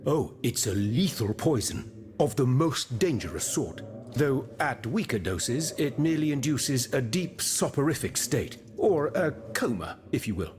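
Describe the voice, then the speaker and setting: Learned gentleman scientist, medium pitch, earnest, courteous, formal, articulate, period video game dialogue. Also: big pitch swings, measured pace, faint music behind. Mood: explanatory.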